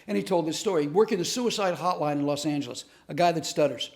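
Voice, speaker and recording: Male storyteller-teacher, slightly high voice, earnest and animated delivery. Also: fast talker. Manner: earnest, animated storyteller who acts out quotes. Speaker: comedic speaker and teacher. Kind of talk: storytelling lesson to camera.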